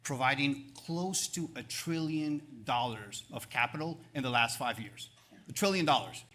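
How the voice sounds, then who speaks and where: An upbeat man in his fifties with an Argentine Spanish accent speaks in a bright, forward voice at mid pitch. He answers panel questions on stage with promotional energy, stacking up numbers between fillers and quick restarts. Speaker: banking executive. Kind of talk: live panel interview on stage. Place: event stage.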